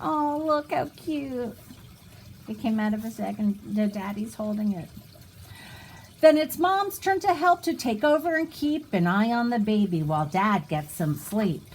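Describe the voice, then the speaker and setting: A woman reading a rhyming picture book aloud to young children in an expressive, sing-song way, pausing for little asides. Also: a little high, a little hiss or hum, low-quality recording. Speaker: children's storybook reader.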